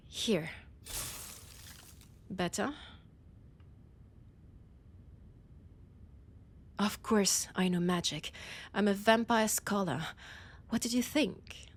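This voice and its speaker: Slow, haughty French-accented vampire played by a woman. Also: mid-range voice. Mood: condescending, exasperated. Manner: condescending, sardonic, breathy whispered asides. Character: annoyed, haughty female vampire scholar, ancient and hungry. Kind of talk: scripted roleplay audio drama.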